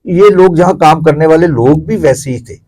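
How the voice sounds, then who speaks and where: A man's voice: a little high, a little mellow, husky. A middle-aged or older man with a Pakistani accent, speaking as an interview guest in a discursive, explanatory way. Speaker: interview guest, theatre commentator. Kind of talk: reflective explanatory interview talk.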